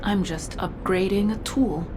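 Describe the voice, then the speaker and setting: A woman's voice: mid-range voice, unhurried, over music, natural voice. A woman voicing a vengeful, wounded soldier with a prosthetic body, bitter and accusing in a dramatic video game style. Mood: grim, resolved. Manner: accusing, intense.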